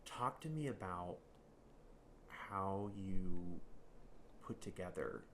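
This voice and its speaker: A man's voice: low-pitched, expressive, slow pace, a bit bright, a little hiss or hum. A man hosting a podcast interview, enthusiastic and affirming as he asks his guest questions. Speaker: podcast host.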